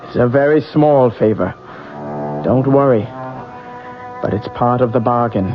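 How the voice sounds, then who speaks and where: Man acting a slow, cryptic fortune teller, muffled radio drama. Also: medium pitch, natural voice. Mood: cryptic, businesslike. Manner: deliberate, cryptic, insistent. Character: secretive fortune teller, doting parent to sick Claude. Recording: muffled vintage radio recording, music behind.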